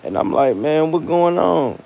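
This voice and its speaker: A man's voice: fairly high pitch, unhurried, vocal fry. American man telling a casual story. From United States. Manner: animated retelling with slang and acted-out dialogue. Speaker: vlogger.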